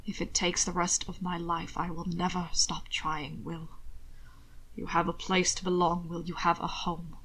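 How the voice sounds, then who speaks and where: Brief: woman reading a solemn devoted man, hushed and measured, low soft voice. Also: flat delivery, poor audio. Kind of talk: audiobook reading.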